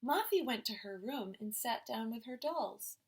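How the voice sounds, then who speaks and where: A woman teacher reading a picture book aloud to young children in an expressive storytelling style. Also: on the high side, background noise. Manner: slow, expressive, addressing children directly.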